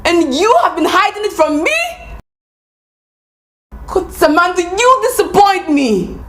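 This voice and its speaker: A woman's voice: very high-pitched, big pitch swings, a little slow, fairly bright tone, exaggerated voice. An actress playing an exasperated woman who scolds her friend with sarcastic reproach. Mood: reproachful. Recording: echoey room.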